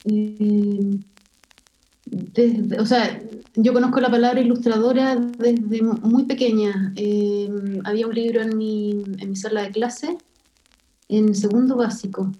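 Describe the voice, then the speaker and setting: A woman from Chile with a Chilean Spanish accent, an illustrator speaking thoughtfully as an interview guest and pausing often with fillers. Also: mid-range voice, fairly even intonation, mellow, dull audio. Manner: thoughtful, hesitant with many fillers. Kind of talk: casual interview conversation.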